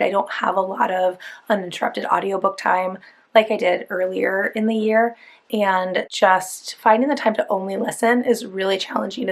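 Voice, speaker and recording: Chatty woman with a gravelly edge. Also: mid-range voice. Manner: chatty, upbeat, rambling with fillers. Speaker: book vlogger. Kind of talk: casual vlog chat.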